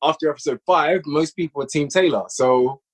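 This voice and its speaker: A man's voice: fairly high pitch, a little fast, slightly rough. A man, a guest writer and producer of audio drama, chatting at length on a podcast and explaining his work with frequent restarts. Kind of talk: casual podcast conversation.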